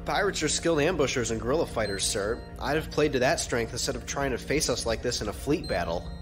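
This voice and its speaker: A man's voice: mid-range voice, animated, fast talker, lightly acted. Man playing an earnest young-officer lieutenant, music behind. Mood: earnest, respectful. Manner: respectful, tentative. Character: deferential, earnest male junior fleet lieutenant. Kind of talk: audio drama acting.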